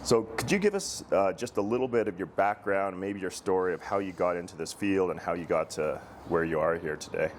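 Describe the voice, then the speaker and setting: A man hosting a casual interview, speaking haltingly and repeating words as he phrases his questions. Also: deep voice, expressive, a little hiss or hum. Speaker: interview host, girls hockey director.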